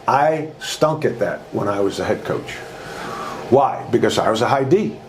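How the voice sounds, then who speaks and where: A man in his sixties, a former basketball coach and author, telling leadership stories in a loud, emphatic way as a podcast guest. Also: on the low side, normal speed, slight background noise. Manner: loud, emphatic, animated storyteller. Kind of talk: conversational storytelling interview.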